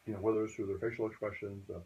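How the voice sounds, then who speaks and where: Man, podcast co-host, lively, prompting, very quick, raspy. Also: fairly low pitch, highs cut off. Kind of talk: interview questions.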